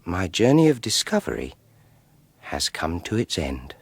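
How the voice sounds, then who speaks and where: A gravelly, brooding regressed scientist. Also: male voice, low-pitched, wildly swooping pitch, no echo, heavily acted. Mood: grave, defiant. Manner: halting, brooding, disdainful. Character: solemn scientist regressed to boyhood, musing aloud. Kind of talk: radio drama monologue.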